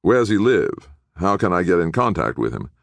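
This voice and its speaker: A man's voice: deep voice, big pitch swings, normal speed, gravelly, no echo, voice pushed up, exaggerated voice. A man voicing a youngish public defender in an audiobook, cold, impatient and disapproving. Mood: impatient, accusing. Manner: curt, disapproving, accusatory.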